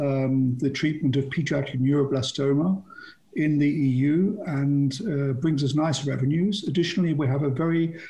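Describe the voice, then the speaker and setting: A man, a company chief executive, introducing his firm on an online panel in a brisk, wry manner. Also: fairly high pitch, gravelly, darkish tone, rough recording. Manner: brisk and wry, light self-deprecating humor. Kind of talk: prepared self-introduction on a panel.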